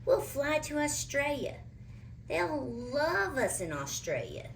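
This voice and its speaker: An eager, theatrical storybook showman, played by a woman, scheming grandly. The voice is high and animated, dramatic and conspiratorial. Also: slow, recorded from a distance, a little hiss or hum, heavily acted. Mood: eager excitement. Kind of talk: storybook character voice.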